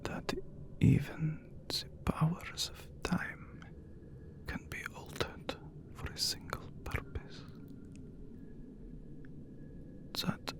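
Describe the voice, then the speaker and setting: A man playing an ancient, courteous, brooding vampire count in an ASMR roleplay, speaking in a breathy, gravelly hush with formal phrasing and long pauses. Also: fairly high pitch, exaggerated voice. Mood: awed, tender. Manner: slow, formal, hushed, with long dramatic pauses. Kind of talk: ASMR romantic roleplay, scripted character acting.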